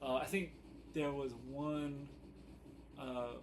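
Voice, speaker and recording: Slow-talking man, halting and rambling. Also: medium pitch, fairly even intonation. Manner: halting, rambling, often trailing off and correcting himself. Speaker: video host, fan commentator. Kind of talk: casual unscripted chat to camera. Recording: noticeable background noise.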